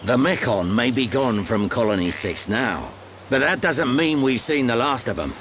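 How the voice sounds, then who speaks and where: Man acting gruff, animated mentor warrior. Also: medium pitch, moderate pace, a little hiss or hum, natural voice. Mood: gruff encouragement. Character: gruff older male mentor, secretly deceitful warrior. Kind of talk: video game cutscene acting.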